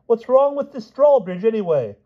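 A man voicing a giddy, excitable, dithering old-fashioned king in a storybook, chatty and blustering. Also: high voice, normal speed, husky, highs cut off, voice pushed up, heavily acted. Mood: excited. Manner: blustering, chatty, repetitive questioning.

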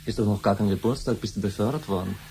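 Guy playing a defiant young son in an old german radio play, talks fast and flippant, noisy recording. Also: on the low side, warm tone, lightly acted. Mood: puzzled. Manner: flippant, offhand. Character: sullen, defiant young adult son, indifferent to parents.

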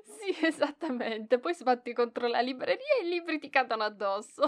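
A woman's voice: sits high, very animated, moderate pace. A woman voicing a proud, easily flustered young librarian in an ASMR roleplay, bossy but fond, with hesitant stammers. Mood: amused, teasing. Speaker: voice actress in audio roleplay.

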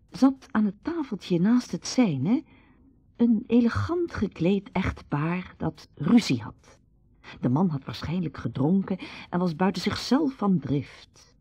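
A woman's voice: sits low, warm tone, breathy edge, dry recording. A woman narrating a radio serial in a scripted storytelling style.

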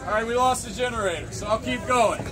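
A man's voice: extremely high pitch, fast, over loud music. A young man emceeing a contest at an outdoor fair, reading entry notes into a handheld microphone over a PA, then rallying the crowd. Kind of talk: announcing at an outdoor event. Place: outdoor fair under a canopy tent. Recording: distant camera mic, noisy crowd. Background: crowd chatter.

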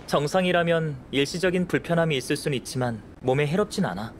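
A man playing a calm, analytical young alchemist in a game, explaining in a measured, composed way. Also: fairly high pitch, slight background noise, natural voice. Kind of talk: Korean game voice acting.

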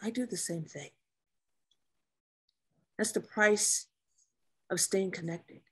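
A woman acting a weary, blunt, bitter naval officer with a husky voice in a dramatic script reading. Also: medium pitch, slow, a bit bright.

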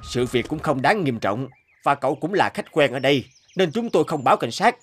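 A formal restaurant manager in an animated tale. He is courteous yet firm, and music is clear behind him. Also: mid-range voice, a little fast. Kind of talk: animated moral story dubbing.

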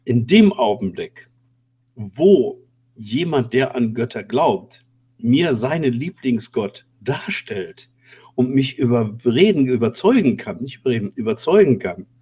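Husky man explaining off the cuff. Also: mid-range voice, sing-song, warm tone. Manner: halting, repeats words for emphasis. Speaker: co-host. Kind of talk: spontaneous explanation on an online talk show. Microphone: online call.

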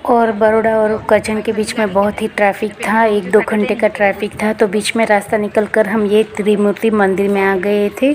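A woman narrating her road trip vlog as a voice-over, recounting each stop in an even, matter-of-fact way. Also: mid-range voice, normal speed, few pauses. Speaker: vlogger.

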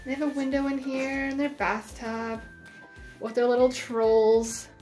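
A woman's voice: high-pitched, monotone, a little slow, a little mellow, soft background music, poor audio. A woman in her thirties from Canada, chatting casually while showing her home on a handheld phone camera. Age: 30s. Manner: chatty, easygoing, with many fillers like "like". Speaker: vlogger giving a house tour. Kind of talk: casual house-tour chat. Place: indoors in a home. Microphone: handheld phone camera at arm's length.

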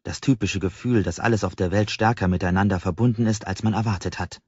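A man's voice: fairly low pitch, fairly even intonation, quick pace, darkish tone, husky. A man narrating a novel as an audiobook in a scripted storytelling style. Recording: slightly dull, roomy sound.

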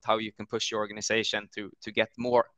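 Energetic Swedish male guest, 30s, native Swedish, forceful and clear, dry headset mic. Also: fairly low pitch, quick pace, dry recording. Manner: energetic, many fillers and repeated words. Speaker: guest executive. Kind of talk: business webinar conversation. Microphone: headset on video call.

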